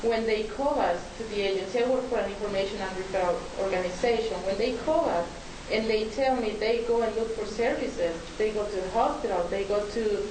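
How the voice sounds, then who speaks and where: A woman with a Mexican Spanish accent speaks earnestly at a forum, often pausing and restarting. Her voice carries from a distance in a roomy hall, with steady hiss underneath. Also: mid-range voice. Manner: earnest, hesitant, many restarts. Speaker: forum presenter. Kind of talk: public talk at a forum.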